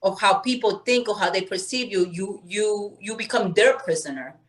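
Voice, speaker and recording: A woman from Peru speaks as an interview guest at a middle pitch. She talks earnestly and warmly about spiritual growth, with animated restarts and a conversational flow. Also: moderate pace. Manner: earnest, spiritual, animated, acts out quoted voices. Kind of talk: candid conversational storytelling.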